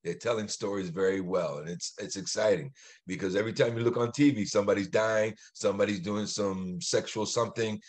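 A man's voice: on the low side, fairly quick. A man speaking emphatically and with opinion in a casual panel chat over a video call. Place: indoors on a group video call. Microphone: video call mic.

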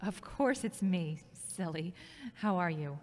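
A woman playing a confident, ambitious radio journalist with a teasing, warm manner in an audio drama. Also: lowish voice, expressive, takes it slowly. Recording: echoey hall-like reverb.

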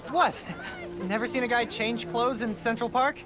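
High-voiced teasing teen boy. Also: steady tone, moderate pace, crowd noise. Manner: casual slang, teasing. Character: teasing, amazed teenage boy best friend. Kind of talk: naturalistic video game voice acting.

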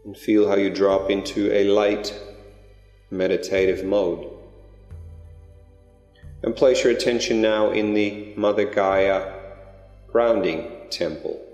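A man leading a guided meditation in a slow, gentle, drawn-out way. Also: fairly low pitch, steady tone. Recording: roomy reverberant recording with soft music. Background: new-age music.

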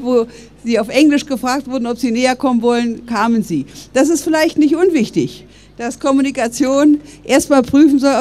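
An older woman from Berlin delivers a public speech outdoors into a stand microphone. Her voice sits a little high, and she builds her argument in a measured, earnest way. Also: a little mellow, slight background noise. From West Berlin, Germany. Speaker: public speaker. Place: outdoors by stone columns.

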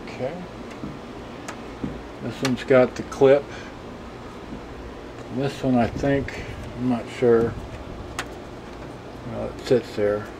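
Slow, rambling man on a noisy camcorder. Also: mid-range voice, steady tone, creaky. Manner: rambling, muttering to himself in short fragments. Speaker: vlogger showing vintage electronics. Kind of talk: casual off-the-cuff product demonstration. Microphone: handheld camcorder's built-in microphone.

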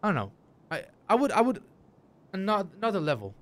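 A man's voice: high-pitched, sing-song, fairly quick. A man, chatting casually with stream viewers in slangy, profane, repetitive bursts. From Denmark. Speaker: live game streamer. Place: indoor room, streaming setup. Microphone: close desk microphone, headset worn.

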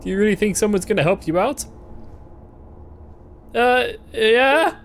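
A man's voice: high-pitched, animated, a little slow, a little hiss or hum. A young man doing casual, playful let's-play commentary, reacting and reading game text aloud. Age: young adult. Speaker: gaming video host.

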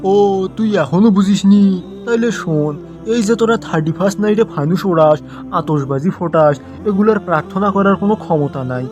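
A man's voice: high voice, brisk, a little mellow, faint music behind, lightly acted. A man with a Bogura accent from northern Bangladesh playing a sarcastic, moralizing schoolteacher in a comic cartoon, full of mocking rhetorical questions. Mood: stern, preachy. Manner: mock agreement, rhetorical questions, preachy. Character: sarcastic, moralizing male schoolteacher scolding his pupil. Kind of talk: comic cartoon dialogue turning into sermon.

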